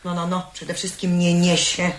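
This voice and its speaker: A woman's voice: lowish voice, completely flat delivery, natural voice. An actress playing an exasperated, commanding middle-aged mother in a theatrical radio drama. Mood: scolding, exasperated. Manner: brisk, commanding. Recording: echoey, noisy 1970s radio recording.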